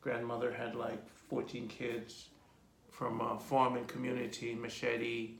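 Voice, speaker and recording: A man in his forties, an artist telling his life story in a relaxed, rambling way during an interview. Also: medium pitch, unhurried, vocal fry, a little hiss or hum. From Jamaica. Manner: rambling lists, relaxed and reflective. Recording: echoey room.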